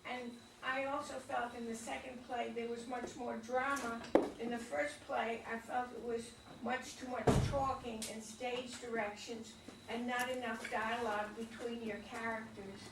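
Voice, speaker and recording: A woman's voice: fairly high pitch, little pitch movement, normal speed. A woman in a theatre discussion politely asking questions and giving feedback. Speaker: audience member giving feedback. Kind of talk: workshop discussion. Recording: echoey room, distant mic, noisy.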